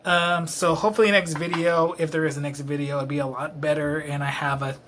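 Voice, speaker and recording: Bright-voiced man rambling, a bit tipsy. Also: fairly high pitch, little pitch movement, brisk, a little hiss or hum. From Dallas, Texas. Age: late 20s. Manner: self-deprecating, rambling, many fillers. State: a little tipsy. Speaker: vlogger. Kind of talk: rambling casual monologue to camera. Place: his own apartment, indoors. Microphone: camera close-up.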